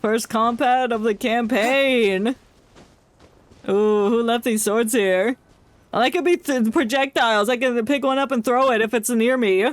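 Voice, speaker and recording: A woman streamer gives casual commentary over gameplay. The voice is fairly high and the pace often slow, with a playful, wisecracking manner. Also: dry recording. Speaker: game streamer.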